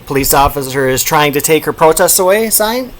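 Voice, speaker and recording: A middle-aged man hosting a casual comic book review show, talking in a playful, animated way. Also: a little high, a little fast. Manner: playful, animated, breaking into shouted sound effects.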